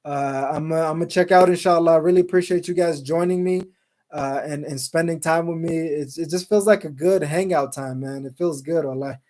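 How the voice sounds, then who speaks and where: A man with a fairly high but mellow, warm voice hosts a casual live stream. He rambles easily through his points, filling pauses with ums and uhs and restarting sentences. He drops Islamic phrases in between as he reads viewer comments aloud. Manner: relaxed, rambling with many fillers and restarts. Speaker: show host. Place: Salt Lake City, Utah.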